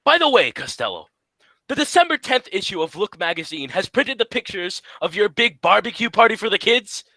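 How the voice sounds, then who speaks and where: A man playing the sharp, suspicious straight man in a 1940s-style radio comedy, brisk and badgering. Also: high-pitched, big pitch swings, no echo, exaggerated voice, poor audio.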